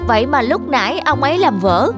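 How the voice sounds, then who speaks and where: Casting: woman as innocent young manservant in a cartoon fable, raised pitch, deferential and curious, music underneath. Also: sing-song, fairly quick. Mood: puzzled, earnest. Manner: polite, deferential, inquisitive. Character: young male servant, eager, respectful, innocent. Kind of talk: dubbed moral folk-tale cartoon dialogue.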